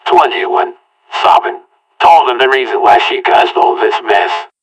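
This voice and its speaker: A man's voice: mid-range voice, lively intonation, a little slow. Man narrating from far off the mic. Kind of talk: amateur audio-log storytelling in first person.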